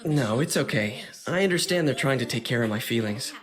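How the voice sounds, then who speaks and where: A performer, heard as a woman, playing a gentle, earnest teenage boy in an anime audio drama, polite and hesitant. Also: rumbling low, lively intonation, brisk, crowd noise. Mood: modest.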